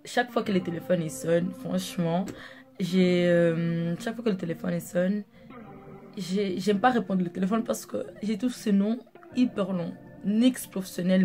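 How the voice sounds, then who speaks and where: Young woman, from Angola, native Dutch and Portuguese speaker; low, casual, filler-heavy vlog chat over a music bed. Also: warm tone. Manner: chatty, rambling, with many fillers. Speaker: makeup artist vlogger. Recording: music playing under the voice.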